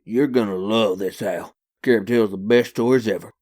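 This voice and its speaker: A man's voice: lowish voice, moderate pace, a little mellow, natural voice. A man playing a joking, chummy vagrant drinking with his pals in a comic dub, with folksy, slangy banter. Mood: jovial, teasing.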